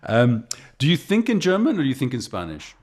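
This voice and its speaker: A man's voice: mid-range voice, wildly swooping pitch, fairly quick, darkish tone. A man hosting a podcast interview, chatty and easygoing, often restarting words mid-question. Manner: chatty, repeats words, laughs easily. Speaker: podcast host. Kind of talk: casual interview.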